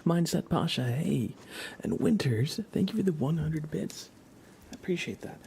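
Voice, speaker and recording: A man's voice: fairly high pitch, lively intonation, moderate pace, creaky, darkish tone, a little hiss or hum. A man hosting an ASMR livestream, chatting casually and often in a whisper, with playful, rambling asides to viewers. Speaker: livestream host.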